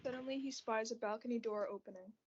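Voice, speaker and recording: Female student narrator, fairly high voice, scripted stage reading, lo-fi sound. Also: fast talker, husky. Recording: dull lo-fi audio.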